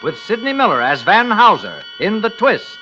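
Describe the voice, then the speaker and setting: A man's voice: sits high, wildly swooping pitch, normal speed, bright, forceful tone, soft background music. A man announcing on 1940s radio in a showy, emphatic sponsor style, on a muffled vintage recording.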